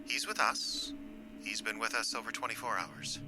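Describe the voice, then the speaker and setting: Man playing a coolly menacing low-voiced alien invader. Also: fairly even intonation, sharp tone, soft background music. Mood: calm menace. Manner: measured, condescending, threatening. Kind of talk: audio drama acting.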